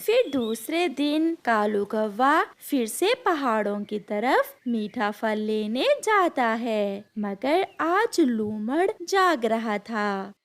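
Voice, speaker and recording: A woman narrates a scripted children's tale. Her voice is high and lively in pitch. She takes the lines at a slow pace.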